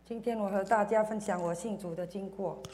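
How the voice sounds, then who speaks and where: A woman from Indonesia giving a personal church testimony in short phrases, pausing for an interpreter. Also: mid-range voice, steady tone, a little mellow. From Indonesia, schooled in Singapore. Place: church service, speaking to congregation.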